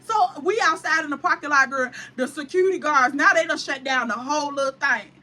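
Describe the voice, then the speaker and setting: A woman vlogger telling a wild story with animated, profane, dramatic flair. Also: sits high, fairly quick. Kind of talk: storytime vlog.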